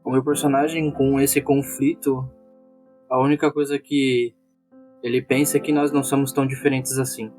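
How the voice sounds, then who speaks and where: Male tabletop player, average pitch, describing his character, with music underneath. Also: flat delivery, moderate pace, darkish tone. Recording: music playing behind.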